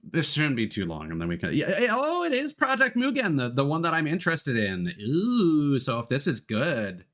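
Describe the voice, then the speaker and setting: Man, game streamer, bright forceful voice, very lively intonation, casual thinking aloud, informal slang. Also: fairly high pitch, moderate pace. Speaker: live streamer, let's play host. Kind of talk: casual chat with viewers while setting up a game stream. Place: indoors at a computer.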